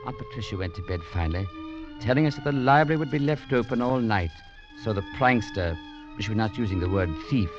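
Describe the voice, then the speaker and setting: An actor playing an earnest young gentleman, narrating in a measured storytelling manner in an old radio drama. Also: lowish voice, natural voice. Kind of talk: 1940s radio acting. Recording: lo-fi radio recording with music.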